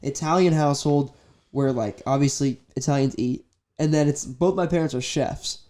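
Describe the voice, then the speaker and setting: Mellow, higher-voiced man chatting. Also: normal speed. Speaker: podcast host, interviewer. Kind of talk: casual chat.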